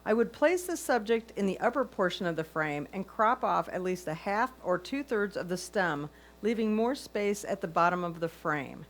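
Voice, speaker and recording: A woman's voice: medium pitch, animated, moderate pace. A woman serving as a competition reader, reading written photo critiques aloud. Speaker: reader of judges' comments.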